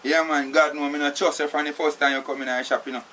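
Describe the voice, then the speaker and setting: A man's voice: a little high, flat delivery, fast, pushed and bright, a little hiss or hum. A man playing a streetwise captive who is tied up, speaking in Jamaican Patois, irritable and constantly adding "you know". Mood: demanding.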